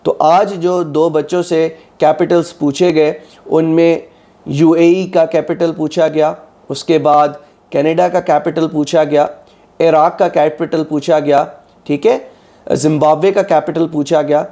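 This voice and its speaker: A man's voice: on the high side, little pitch movement, a little slow, mellow. A man with a slightly sore throat, methodically teaching exam preparation and often checking in with his students. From Pakistan. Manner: methodical, repeats "ठीक है" often. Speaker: exam prep tutor. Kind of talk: instructional lecture.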